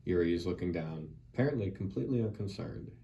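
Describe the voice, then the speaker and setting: A man's voice: sits low, animated, fast talker, creaky, mellow, muffled recording. A man reading stage directions as scripted narration at a screenplay table read. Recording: distant mic, background noise.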